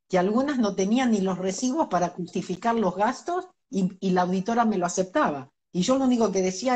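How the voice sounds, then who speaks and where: Woman, middle-aged, earnest, laughs lightly, middle pitch. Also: darkish tone. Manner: earnest, laughs between points. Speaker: interview guest, spiritual teacher. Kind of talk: reflective conversational interview.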